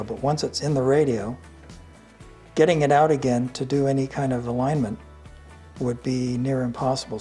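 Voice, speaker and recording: An older man presents a hands-on product walkthrough in a medium-pitched voice. He explains things casually and without hurry, pausing partway through sentences, with faint music in the background. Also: dark tone. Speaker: vintage radio reviewer.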